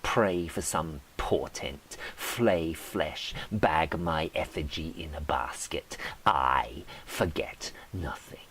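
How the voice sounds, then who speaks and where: A vengeful carved idol, voiced by a man delivers a poetic monologue in a very low, slow voice. It is imperious and hushed with menace, over a faint hiss. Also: full character voice, low-quality recording. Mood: menacing, scornful. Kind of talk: dramatic poetry monologue.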